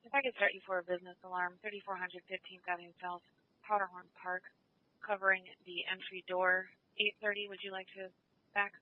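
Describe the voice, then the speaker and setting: A police dispatcher speaks at a brisk clip over a thin, phone-like radio channel. The delivery is procedural and matter-of-fact, in a mid-pitched voice. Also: flat delivery. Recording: lo-fi phone-like scanner radio audio.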